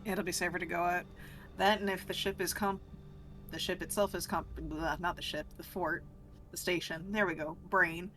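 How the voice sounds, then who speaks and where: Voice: medium pitch, fairly even intonation, normal speed, bright, forceful tone. Casual tabletop player thinking aloud, music behind. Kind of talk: casual in-game discussion.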